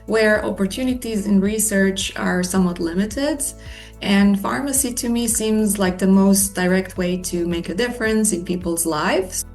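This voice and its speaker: A woman's voice: medium pitch, normal speed, darkish tone. A woman in her thirties from Croatia with a Croatian accent, an assistant professor earnestly explaining her research in a Q&A, with faint music behind. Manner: earnest, explanatory, lists points. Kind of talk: scripted Q&A monologue.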